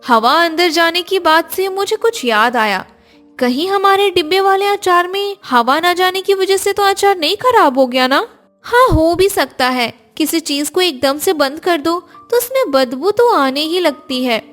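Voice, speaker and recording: A woman voicing a cheerful, curious young Indian daughter-in-law in an animated family story, with expressive household chatter. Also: extremely high pitch, a little slow, soft background music, full character voice. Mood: lively, curious.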